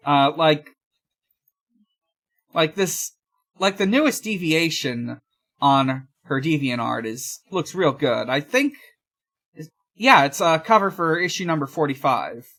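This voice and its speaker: A podcast host talking casually in a halting, hesitant way, often pausing and trailing off. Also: medium pitch, lively intonation, a bit bright. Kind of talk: casual podcast discussion and recap.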